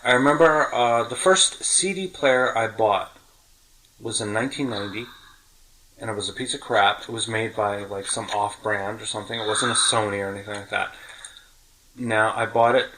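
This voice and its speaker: A middle-aged man with a bright, forward voice talks like a podcast host swapping memories with a friend. His delivery is chatty and full of small detail, casual and conversational throughout. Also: lowish voice, normal speed, poor audio.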